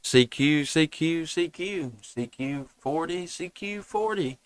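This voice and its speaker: A man's voice: a little high, animated, takes it slowly, heavy background noise. A man, laid-back and folksy, chatting casually as a ham radio operator and live streamer. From United States. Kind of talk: casual amateur radio chat. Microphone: headset and boom microphones.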